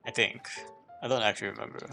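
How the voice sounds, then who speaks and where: A man's voice: fairly low pitch, fairly even intonation, fast, vocal fry, pushed and bright, soft background music. A man chatting casually on a livestream, thinking aloud in a hesitant, rambling way and often repeating himself. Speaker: live streamer.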